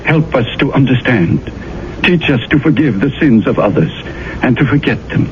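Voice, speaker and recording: Actor playing a smooth, preachy schemer. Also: male voice, medium pitch, sing-song, moderate pace, close to an everyday voice. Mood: pious. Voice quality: soothing, preachy, persuasive. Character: smooth, sanctimonious man urging prayer. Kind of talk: 1970s radio drama acting. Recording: dull old radio broadcast, faint hiss.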